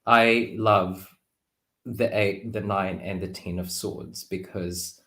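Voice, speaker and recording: Low voiced guy chatting about tarot cards, expressive, kinda playful, roomy echo on the call. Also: slow pace. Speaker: tarot reader, guest. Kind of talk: casual, reflective chat. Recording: roomy, echoey sound.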